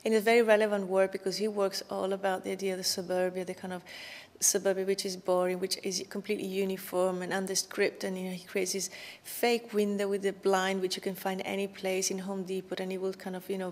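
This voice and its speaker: Woman curator, even-toned, in a reverberant gallery. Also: mid-range voice, a little fast. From Italy. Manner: thoughtful, fluent with many fillers and restarts. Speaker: exhibition curator. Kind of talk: explaining an exhibition in an interview. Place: indoor art gallery exhibition. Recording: reverberant gallery with faint background noise.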